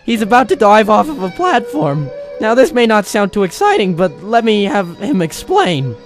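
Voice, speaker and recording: An actor playing a flustered, stammering field reporter in a comic old-time radio news skit. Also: male voice, high voice, moderate pace, over music. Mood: earnest, stammering. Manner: stammering, excitable.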